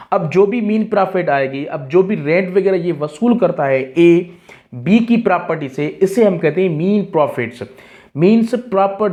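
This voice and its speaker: A man's voice: a little high, darkish tone. A man with a Pakistani accent giving an explanatory lesson as a tutor, reading out definitions and then explaining them didactically with repeated key phrases. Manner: mixes Urdu-Hindi with English, didactic, repeats key phrases. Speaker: law exam tutor.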